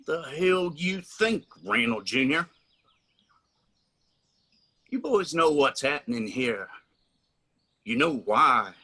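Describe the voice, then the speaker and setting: A man playing a stern constable in a radio drama, giving orders with slow authority. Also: mid-range voice, big pitch swings, bright, forceful tone, exaggerated voice. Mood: stern, angry. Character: stern, commanding mountain constable.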